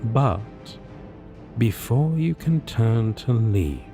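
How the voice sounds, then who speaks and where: A man's voice: medium pitch, very animated, drawn-out words, warm tone, faint music behind. A man narrating a scripted second-person story for a roleplay.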